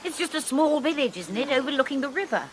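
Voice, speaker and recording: An actress in her thirties playing a prim, proper missionary woman in a radio drama, with a sharp tongue. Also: sits high, animated, fast, slight background noise. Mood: determined. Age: 30s.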